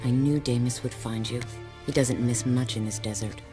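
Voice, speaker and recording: A woman's voice: extremely low pitch, steady tone, moderate pace. A determined female fighter in a video game. She sounds earnest and serious, with music behind her. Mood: urgent. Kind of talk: video game dramatic acting.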